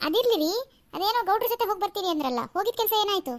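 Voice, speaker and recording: A woman's voice: extremely high pitch, animated, rapid-fire, dry recording. A woman voicing a polite homemaker wife speaking to her husband. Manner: polite, addresses husband respectfully.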